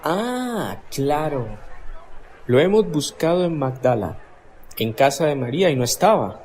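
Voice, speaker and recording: An eager man from a crowd, voiced by an actor in a radio drama, pleading slowly with a lively, rising and falling voice. A faint hiss sits behind him. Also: a little high. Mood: explaining.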